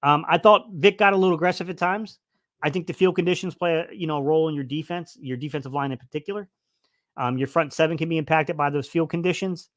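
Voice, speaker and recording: A man's voice: on the high side, fast, fairly bright tone, no echo, studio quality. Rambling man hosting a football live stream. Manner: rambling, many fillers and restarts. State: losing his voice a little. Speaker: sports livestream host. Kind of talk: casual live-stream football talk, reading chat.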